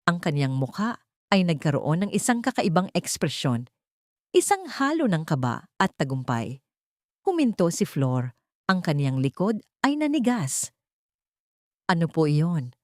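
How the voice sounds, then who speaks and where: A woman's voice: lowish voice, very animated, a little slow, no echo, studio quality. A woman narrating an audio story with a solemn, dramatic delivery.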